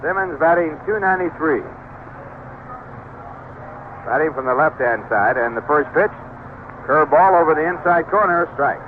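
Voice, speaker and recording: A man with a slightly high-pitched voice calls a baseball game play by play on old radio. The sound is thin and narrow like an AM set, with clear noise behind him. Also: lively intonation, normal speed, long pauses. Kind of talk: live radio sports commentary. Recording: noisy narrow-band 1970s AM radio broadcast.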